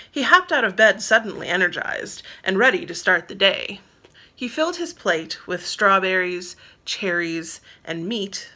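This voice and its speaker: A woman's voice: fairly low pitch, bright, forceful tone. A woman reading her own novel aloud as narrator, in scripted storybook style.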